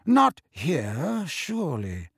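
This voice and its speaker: A cold, haughty middle-aged wizard, voiced by a male narrator. He drawls with icy politeness, his pitch swinging wide before each phrase sinks into a quiet sneer. The storybook reading is dry and close. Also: huge pitch swings, gravelly, breathy edge, no echo, full character voice. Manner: slow, cool drawl with soft trailing endings.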